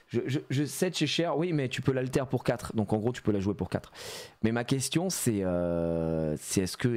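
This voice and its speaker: A man chatting casually on a live stream as he opens trading card packs, joking around and laughing often. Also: lowish voice. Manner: animated and jokey, laughs often, plays on words.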